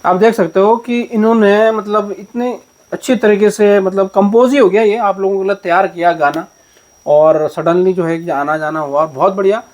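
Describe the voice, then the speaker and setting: A man with an Uttarakhand accent hosts a casual interview and heaps on praise, his talk loose and full of fillers. His voice is high for a man. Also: steady tone, moderate pace, a little mellow. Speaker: vlogger, interviewer.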